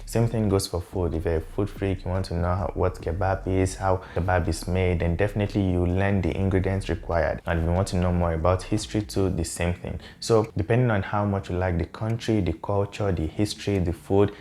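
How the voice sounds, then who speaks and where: Young man, Yoruba native, deep voice, friendly explainer, lapel mic in a small room. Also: slight background noise. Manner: relaxed, friendly explaining, slows to spell out words. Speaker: language vlogger. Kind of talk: explanatory talk to camera.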